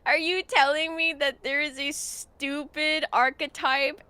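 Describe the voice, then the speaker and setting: A woman's voice: high voice, fairly even intonation, sharp tone, no room sound. A woman streamer with a sniffly cold, chatting playfully and giggling with friends on a voice call. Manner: giggly, playful, drawn-out silly emphasis. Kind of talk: casual chat while gaming.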